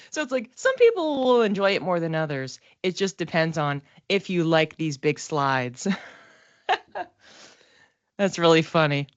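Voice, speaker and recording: A woman giving a casual, informal tarot reading as a psychic, reflective and halting, often giggly as she talks. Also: deep voice, very animated, no echo, highs cut off.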